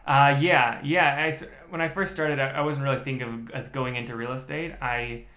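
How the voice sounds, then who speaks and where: A man's voice: mid-range voice, fairly even intonation, normal speed, sharp tone. A man, a podcast guest telling his story in a methodical way with many restarts. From United States. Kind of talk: casual interview chat. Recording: echoey room.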